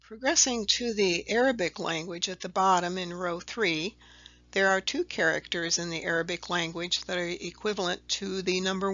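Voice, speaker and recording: Gravelly voice walking through a chart step by step, muffled lo-fi sound. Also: medium pitch, bright, forceful tone. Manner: methodical, step-by-step, with occasional self-corrections. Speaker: video presenter explaining a letter-number table. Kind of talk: slide-by-slide explanatory voice-over.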